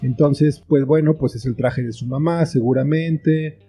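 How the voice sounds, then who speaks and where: A man's voice: a little high, fairly even intonation, a little slow, dark tone, breathy edge, soft background music. A man talking as an interview guest, explaining his thoughts reflectively in short phrases with examples. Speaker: guest, children's book author and screenwriter.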